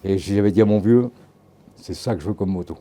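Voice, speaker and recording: A man in his sixties from Normandy, telling relaxed, rambling anecdotes in a casual interview as a tattoo artist and photographer. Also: on the low side, fairly quick. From Normandy, France. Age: 60s.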